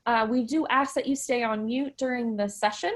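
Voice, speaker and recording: A woman's voice: on the high side, steady tone, normal speed. A woman moderating an online alumni panel over video call, enthusiastic and encouraging as she introduces guests. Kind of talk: upbeat online panel hosting.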